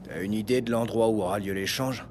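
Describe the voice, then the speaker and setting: A man's voice: fairly low pitch, brisk, noisy background, lightly acted. Actor as a guarded, slangy male street thug. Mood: wary. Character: wary, beaten-up male small-time street thug. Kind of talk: French audio crime drama acting. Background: loud music.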